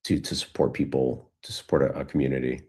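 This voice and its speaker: A man's voice: rumbling low, flat delivery, fast talker, darkish tone. A man answering questions in a casual, informal way, thinking aloud as he goes. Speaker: guest speaker, community founder.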